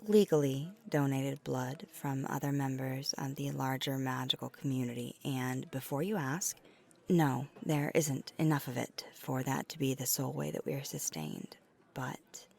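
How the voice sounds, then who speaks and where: A woman voicing a flirty, caring vampire in an intimate ASMR roleplay, soft-spoken and breathy, sometimes near a whisper, with halting hesitations. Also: extremely low pitch, exaggerated voice. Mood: earnest, explaining. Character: flirty, caring female vampire on a dinner date.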